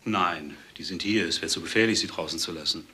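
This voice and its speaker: Male doctor, crime drama: courteous, wary, husky, extremely forceful edge. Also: deep voice, a little fast, exaggerated voice. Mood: guarded. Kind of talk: German crime radio drama acting.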